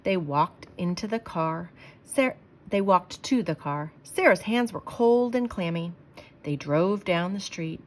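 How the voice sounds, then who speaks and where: A woman doing an expressive read-aloud for children, speaking to them directly like someone from their school. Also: fairly low pitch, measured pace. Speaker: school staff member reading to pupils.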